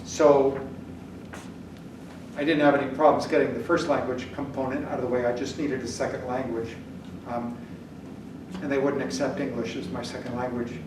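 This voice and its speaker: A man's voice: medium pitch, level tone, normal speed, long pauses. An older man whose first language is German, lecturing on statistics at a whiteboard in a casual, blunt way that keeps checking in with the class. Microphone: camera at the back of the room. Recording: echoey classroom from far off, noisy.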